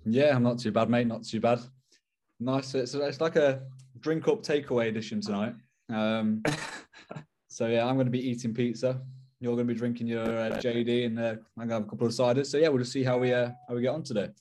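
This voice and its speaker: A man's voice: mid-range voice, fairly even intonation, brisk, a little mellow, a little hiss or hum. A young adult man co-hosting a casual football podcast, chatty and laid-back with fillers. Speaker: podcast co-host. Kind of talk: casual chat.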